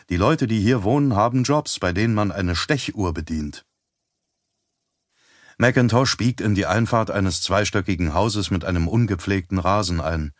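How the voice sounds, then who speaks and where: A man reading an audiobook as narrator in a measured, even storytelling manner on a close studio mic. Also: low-pitched, no room sound. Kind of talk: scripted narration.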